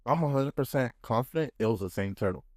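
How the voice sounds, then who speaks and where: A man hosting a casual podcast, telling stories in an animated, slangy way. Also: mid-range voice, fast, dry recording, studio quality. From Dominican background. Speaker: podcast host. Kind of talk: casual chat, storytelling.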